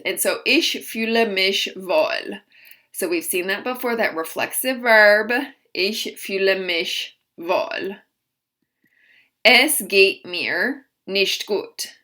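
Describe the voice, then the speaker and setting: A woman teaching a language lesson, slowly modeling each phrase and then explaining it. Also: medium pitch, bright, forceful tone. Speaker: German teacher. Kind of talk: recorded vocabulary lesson.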